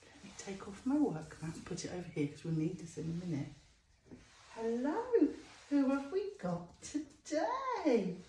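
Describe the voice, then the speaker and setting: A woman's voice: mid-range voice, sing-song, measured pace, dark tone, a little hiss or hum. A woman presenting a show-and-tell lesson for young children, talking to them directly in a sing-song way and stretching out key words. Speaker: children's storytime presenter and teacher. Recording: roomy echo.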